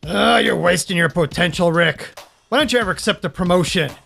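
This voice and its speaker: Give me a man acting an exasperated chief of police, croaky, higher-pitched, faint music behind. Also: a little fast, sharp tone, full character voice. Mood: pleading, exasperated. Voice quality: gravelly. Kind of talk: comic audio drama.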